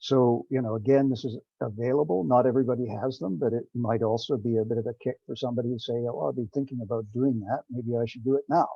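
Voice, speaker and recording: A man giving an informal technical presentation on a teleconference, speaking in a halting, rambling way. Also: medium pitch, steady tone, vocal fry, breathy edge. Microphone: remote teleconference call. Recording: dull, roomy conference-call audio.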